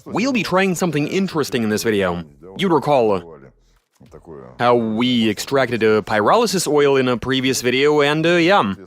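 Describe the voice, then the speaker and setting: A man's voice: medium pitch, expressive, moderate pace. A man narrating a workshop video in a plain, matter-of-fact way, with small hesitations as he explains each step.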